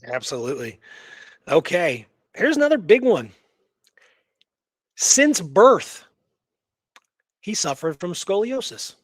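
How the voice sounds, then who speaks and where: A man's voice: high-pitched, big pitch swings, takes it slowly, long pauses, no room sound, studio quality. A man hosting a radio show, retelling a historical case in casual, slangy talk with emphatic repeated phrases.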